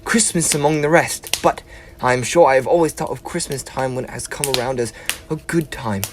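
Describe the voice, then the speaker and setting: A man's voice: medium pitch, fairly quick, lightly acted. A young man acting as a cheerful, earnest nephew in a school stage play, pleading and speechmaking. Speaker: stage actor.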